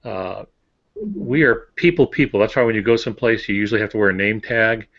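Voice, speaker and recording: A man with a somewhat low voice gives advice as an author co-hosting a podcast. His delivery is explanatory and wry, casual but instructive. The recording sounds slightly dull and lo-fi. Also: brisk, fairly bright tone. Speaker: novelist and podcast co-host giving writing advice. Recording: dull, band-limited audio.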